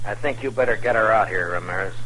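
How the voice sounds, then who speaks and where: A man's voice: on the low side, quick pace, pushed and bright. An actor playing a stern, unflinching American lawman in an old-time Western radio drama, terse and commanding as he questions people. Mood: tense, threatening. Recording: noisy vintage radio recording.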